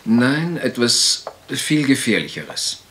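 A man's voice: mid-range voice, very animated, slow pace, fairly bright tone, a little hiss or hum. A man playing a sober, formal country doctor in a radio crime drama, speaking precisely and clinically. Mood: grave, precise.

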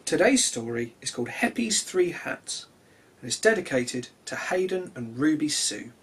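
A man in his thirties reading children's stories aloud in an expressive, gentle way for young listeners. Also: a little high, slightly rough. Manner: animated, simple and gentle address to young listeners. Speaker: children's storyteller and host.

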